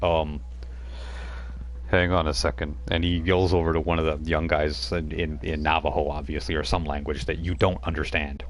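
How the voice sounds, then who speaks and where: A man's voice: very deep voice, little pitch movement, normal speed. A man narrating as game master of a tabletop role-playing game, rambling with many fillers.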